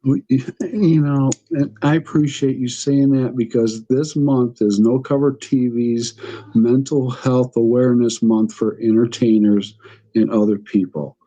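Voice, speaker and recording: A man's voice: medium pitch, steady tone, measured pace, dark tone, rough recording. A middle-aged man hosting a podcast, chatty and enthusiastic, laughing often as he talks. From United States. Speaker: podcast host. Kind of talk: casual interview chat.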